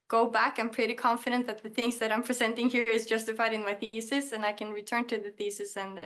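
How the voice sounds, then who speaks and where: A young woman from Norway with a Norwegian accent, giving a conference talk in her second language, hesitant with many pauses and restarts. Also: fairly high pitch, fairly bright tone. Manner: hesitant, many fillers and restarts, self-deprecating. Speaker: conference speaker. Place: conference hall.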